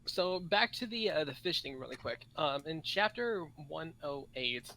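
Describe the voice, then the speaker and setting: A man discussing casually on a podcast in a halting way, with many pauses and repeats. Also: a little high, expressive, pushed and bright, no echo, rough recording.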